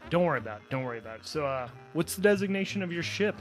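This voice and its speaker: A man's voice: fairly high pitch, lively intonation, racing, pitched above the performer's own voice, close to an everyday voice, poor audio. Man playing a laid-back space station controller over music. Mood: teasing, casual. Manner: hesitant, teasing, slangy rambling. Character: male space station traffic controller, casual joker. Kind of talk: improvised audio drama.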